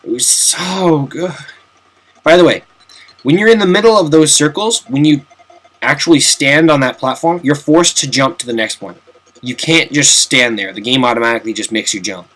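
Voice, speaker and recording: A young man talks through a retro game in a relaxed, rambling way. He cracks self-deprecating jokes and wanders into asides. His voice sits at an ordinary middle pitch. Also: moderate pace. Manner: chatty, self-mocking, jokey asides. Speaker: let's play commentator. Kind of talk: casual gameplay commentary.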